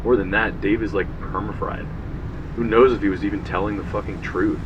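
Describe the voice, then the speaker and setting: An actor playing a young slacker who narrates a grim trespassing tale in a casual, profane, matter-of-fact way. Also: male voice, fairly low pitch, steady tone, a little fast, muffled recording, background noise, close to an everyday voice. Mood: dismissive, wry. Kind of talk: first-person audio drama narration. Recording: music bed behind the voice.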